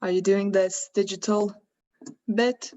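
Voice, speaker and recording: A woman teaches slowly and patiently in a live online workshop, narrating each step as she goes and checking in with her learners. Her voice comes through a computer mic on a video call, with dull, lo-fi audio. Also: medium pitch, steady tone, darkish tone. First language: Croatian. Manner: thinks aloud while working, asks learners questions. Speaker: workshop instructor.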